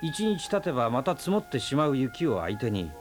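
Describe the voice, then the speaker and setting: A man plays an easygoing thinker who loves a good argument. He speaks calmly in a gently teasing, lecturing way, with an animated pitch that rises and falls. This is a radio drama, with faint music under the voice. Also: normal speed, close to an everyday voice. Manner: calm, teasing, lecturing in a relaxed way. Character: easygoing philosophical man, fond of debate. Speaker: storyteller and friend of the aunt.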